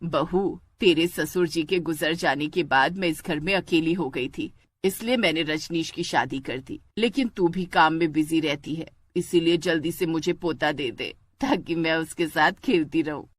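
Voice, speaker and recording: A woman's voice: low voice, normal speed. A woman voicing a widowed village mother-in-law, insistent and caring, with an emphatic motherly address.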